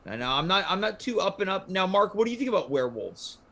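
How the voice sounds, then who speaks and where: A man's voice: high voice, very animated, fast talker, pushed and bright. A man hosting a casual panel chat. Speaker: show host.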